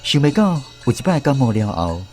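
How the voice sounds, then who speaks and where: A man with a Taiwanese accent tells a radio drama story with an animated, widely moving pitch. Music plays clearly behind his narration. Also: a little fast. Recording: music under the voice. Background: instrumental music.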